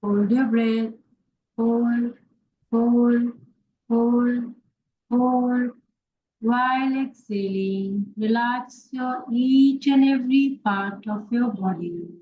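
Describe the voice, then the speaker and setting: A woman with a fairly high voice guides a yoga relaxation extremely slowly. She gives short instructions with long pauses between them. The recording is a little dull and lo-fi, with faint noise behind her. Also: fairly even intonation, faint music behind. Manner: slow, pausing instructions in short phrases.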